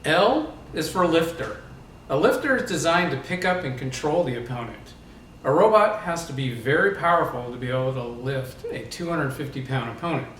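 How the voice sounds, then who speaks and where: A man's voice: a little high, fairly bright tone. A man in his thirties reading a children's book aloud in a garage workshop. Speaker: robot combat team member. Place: garage workshop with metal shutter. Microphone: distant camera. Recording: echoey, faintly noisy, distant mic.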